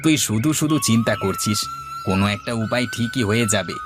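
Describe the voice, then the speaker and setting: A man's voice: mid-range voice, huge pitch swings, normal speed, over music. A man playing a reassuring middle-aged friend in a cartoon story, easygoing and consoling in manner. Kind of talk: cartoon moral-story dialogue.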